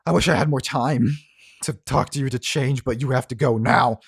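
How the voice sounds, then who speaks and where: Tabletop RPG protector, male, played by a man: raspy, desperate yet tender, urgent pleading delivery. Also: low voice, normal speed, no room sound, clean studio sound, exaggerated voice. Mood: urgent, regretful.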